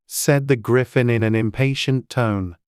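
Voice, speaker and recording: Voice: mid-range voice, a little slow, a little mellow, clean tone, no room sound. A storybook narrator reads from a script in a mid-range voice. The recording is close and dry, with no room sound. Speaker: audiobook narrator.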